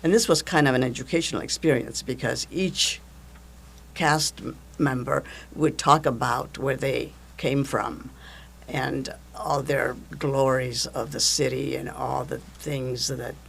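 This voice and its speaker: Older woman, gravelly voice. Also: very deep voice, measured pace. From Italy. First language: Italian. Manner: lively storytelling, chuckles often. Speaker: guest, events coordinator. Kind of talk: casual interview chat.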